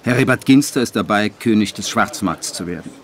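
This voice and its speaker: Male actor, scripted narrator, lowish voice, measured, matter-of-fact, radio play. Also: lightly acted. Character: radio-play narrator announcing date, scene and setting.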